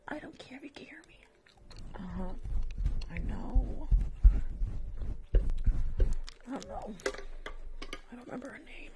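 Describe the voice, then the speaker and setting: A woman's voice: on the high side, expressive, slow, pauses often. A woman doing a catty whispering nail tech. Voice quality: soft whisper. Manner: catty, dismissive, chatters on the phone mid-job; pops gum. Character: rude gossiping young female nail technician. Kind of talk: ASMR roleplay.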